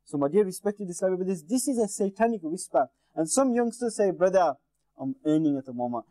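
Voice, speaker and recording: A man in his thirties preaches earnestly to the camera, his voice sitting fairly high yet sounding mellow and warm. He urges his listeners directly, seated at a studio desk with a lapel mic. The sound is dry and close, with no room echo. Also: animated, fairly quick. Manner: earnest exhortation, addresses viewers directly. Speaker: Islamic preacher. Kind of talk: sermon to camera. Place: indoor TV studio with painted backdrop.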